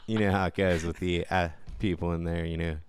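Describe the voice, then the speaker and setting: A man's voice: low-pitched, level tone, brisk, a little hiss or hum. A man co-hosting a podcast, chatting casually about games in a blunt, opinionated way. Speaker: podcast co-host. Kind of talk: casual gaming chat.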